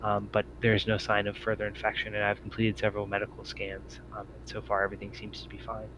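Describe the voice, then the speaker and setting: A man narrating a tabletop roleplaying game as game master, with a halting, hesitant delivery. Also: lowish voice, monotone. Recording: faint background noise.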